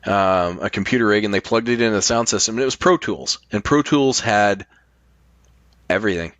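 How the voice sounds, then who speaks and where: Middle aged american guy, lowish voice, blunt podcast talk, dry close sound. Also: brisk, fairly bright tone, no echo. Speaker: podcast guest. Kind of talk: casual podcast chat.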